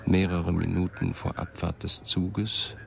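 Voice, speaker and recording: A brooding narrator in a radio drama. The voice is extremely low with heavy creak, delivered in a flat, measured and introspective way. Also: male voice, crowd noise, full character voice. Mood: brooding, detached. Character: brooding first-person narrator, disoriented yet lucid.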